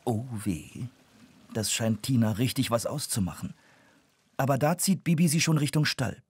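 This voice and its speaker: A man's voice: mid-range voice, animated, normal speed, slightly rough. A man narrating a scripted children's audio story.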